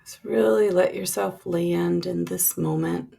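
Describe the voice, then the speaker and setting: A woman leading a guided relaxation meditation with soft, lingering instructions that trail off into breathy releases, in a husky, creaky voice. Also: lowish voice, huge pitch swings, unhurried.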